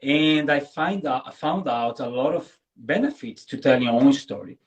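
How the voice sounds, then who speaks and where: A man's voice: medium pitch, expressive, moderate pace, dull audio. A man whose first language is Portuguese, a guest in a live online interview, talking earnestly and often checking in with "right?". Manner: earnest, repeats words, tags lines with "right?". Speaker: guest content creator.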